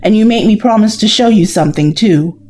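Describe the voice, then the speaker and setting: A woman playing a loyal, level-headed companion in an audio drama, gentle and matter-of-fact. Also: mid-range voice, brisk, a little hiss or hum, close to an everyday voice.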